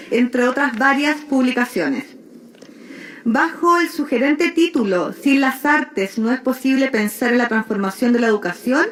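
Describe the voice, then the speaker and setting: A woman moderator introducing the keynote speaker, steadily reading a prepared biography. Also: on the high side.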